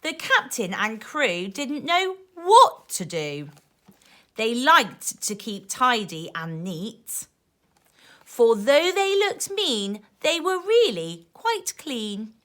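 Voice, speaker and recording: A woman teacher reading a rhyming picture book to young children in an expressive, sing-song way, with asides to her listeners. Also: a little high, slow pace. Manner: animated, emphatic, sing-song rhyming delivery.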